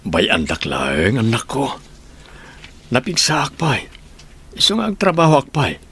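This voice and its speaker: A man with an Ilocano accent playing a gentle, hardworking middle-aged father in an audio drama. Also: mid-range voice, wildly swooping pitch, a little slow, husky, exaggerated voice. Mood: gentle, earnest.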